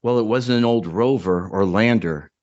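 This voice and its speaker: A man's voice: on the low side, normal speed, a little mellow, dull audio. A man giving a dramatic reading of his own short story as a podcast co-host.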